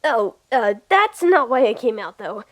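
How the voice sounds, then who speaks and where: A woman's voice: fairly high pitch, sing-song, unhurried. A young woman voicing a nervous, upbeat lead in a comic dub, with lots of fillers.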